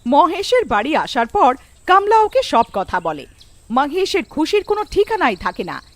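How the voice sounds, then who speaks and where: A woman narrates a scripted horror cartoon. Her voice is high, with animated, storybook-style rises and falls. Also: moderate pace, no echo.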